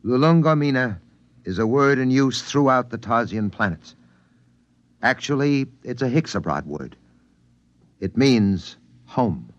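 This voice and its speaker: An actor playing a shrewd, calm spacefarer in a 1950s radio drama. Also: male voice, medium pitch, dry recording, natural voice. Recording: muffled vintage radio recording.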